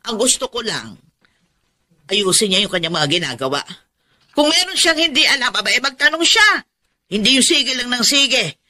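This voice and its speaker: A woman's voice: a little high, big pitch swings, moderate pace, long pauses, pushed and bright, heavily acted. A woman playing a resentful, self-pitying middle-aged aunt in a Filipino radio drama, nagging and melodramatic. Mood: indignant, hurt. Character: resentful, self-pitying older aunt who raised her nephew.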